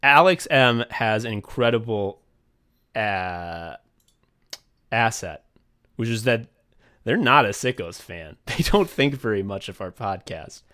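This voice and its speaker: A man's voice: fairly low pitch, expressive, slow, pauses often, bright, forceful tone. A man co-hosting a podcast, chatting casually, rambling with frequent swearing, fillers and restarts. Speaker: podcast co-host and writer.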